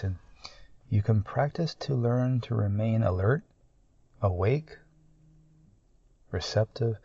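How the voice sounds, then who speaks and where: Slow, low-voiced man guiding meditation. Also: husky, no room sound, lo-fi sound. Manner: calm, measured, long pauses between short phrases. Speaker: meditation guide. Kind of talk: guided meditation, slow and soothing.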